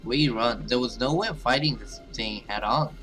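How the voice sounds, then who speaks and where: A man plays through a horror visual novel as the host, with loud music behind him. He reads the story text expressively and dramatically, slipping into casual asides as he reacts. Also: medium pitch, moderate pace. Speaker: gaming video host. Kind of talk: video game let's play, reading story text aloud with reactions.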